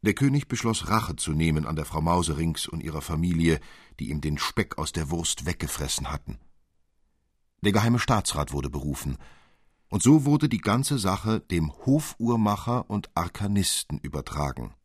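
A man reads a classic fairy tale with dramatic, articulate expression. His voice is deep and slightly husky, recorded dry and close. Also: animated, normal speed, no echo. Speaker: narrator, audiobook reader.

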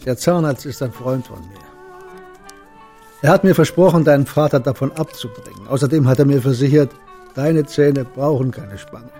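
An older man playing a kindly, wry old shopkeeper in a radio play, fatherly, gently teasing and deliberate. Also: medium pitch, soft background music, lightly acted. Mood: wry, gentle.

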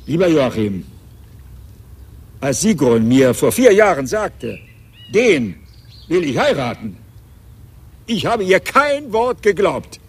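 An actor playing an older patriarch in a radio crime drama, making a ceremonious speech with dramatic pauses. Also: male voice, on the high side, sing-song, husky, heavily acted. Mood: festive, proud. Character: older patriarch, law firm head, stately party speech-maker.

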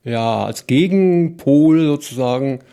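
A man's voice: medium pitch, expressive, slow, vocal fry, mellow, clean tone. A man talking casually as an interviewee.

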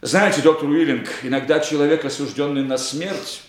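A man's voice: on the high side, moderate pace, reverberant, lightly acted. An actor in a radio play voicing an embittered, lovesick murderer, a man speaking with dramatic, anguished intensity. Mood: wry, resigned. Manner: dramatic, impassioned, anguished delivery. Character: embittered male actor, obsessive lovesick murderer confessing his revenge. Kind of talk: theatrical radio play monologue.

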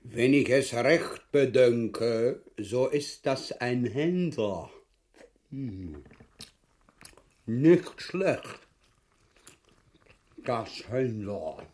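Gluttonous clownish Viennese husband. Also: male voice, mid-range voice, lively intonation, a little mellow. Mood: greedy. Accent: Viennese Austrian. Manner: drawn-out, exaggerated, coarse delivery with big swings. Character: gluttonous, crude, clownish husband who would be king. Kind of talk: farcical radio play acting in dialect.

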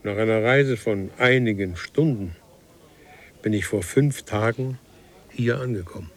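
Low-voiced, ceremonious visitor from the future. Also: male voice, measured pace. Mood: solemn. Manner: solemn, formal, explanatory. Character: ceremonious, precise male time traveller from the far future. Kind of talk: German radio play acting.

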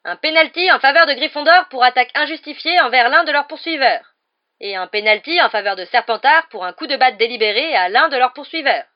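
A woman's voice: sits high, lively intonation, a little fast, highs cut off, voice pushed up. A woman voicing a stern female school referee who barks orders with authority in an audiobook. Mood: outraged, stern. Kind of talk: audiobook character voice.

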